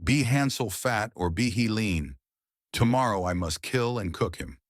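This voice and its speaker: A man's voice: lowish voice, expressive, unhurried, dry recording, clean studio sound, voice pushed up. A man voicing a wicked, falsely kind old witch woman in a storybook, with a thin voice, coaxing and commanding. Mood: harsh, impatient. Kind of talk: storybook character voice.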